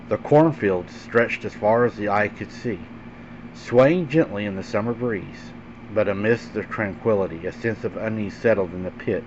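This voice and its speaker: Male horror storyteller, lowish pitch, dramatic and deliberate delivery, slightly muffled lo-fi recording. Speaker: host and narrator of a paranormal story show. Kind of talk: dramatic scary-story narration. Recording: dull lo-fi sound with faint background hum.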